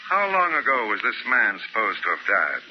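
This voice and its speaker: A man's voice: lowish voice, big pitch swings, a little fast. An actor playing a matter-of-fact male medical examiner, emphatic and assured, in 1940s radio style. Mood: puzzled, assured. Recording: muffled, noisy 1940 radio broadcast.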